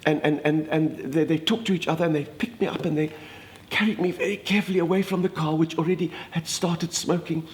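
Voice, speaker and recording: A man's voice: on the high side, fairly even intonation, brisk, few pauses, lightly acted. A man doing a gushing older Afrikaans lady. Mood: gossipy. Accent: Afrikaans South African. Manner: gushing, dramatic, exclamatory. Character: chatty older Afrikaner woman, minister's wife, theatrical. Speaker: comedic monologist. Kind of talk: comic storytelling monologue.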